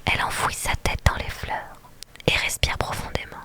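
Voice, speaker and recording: A reader giving a whispered ASMR reading of a novel on a live stream, pausing now and then to thank viewers. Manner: soft steady whisper, thanks viewers between passages.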